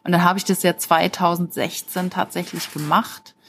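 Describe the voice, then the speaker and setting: A woman's voice: fairly low pitch, steady tone, normal speed, a little hiss or hum. A woman giving an informal motivational talk as a life coach, speaking directly and encouragingly to the listener. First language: German.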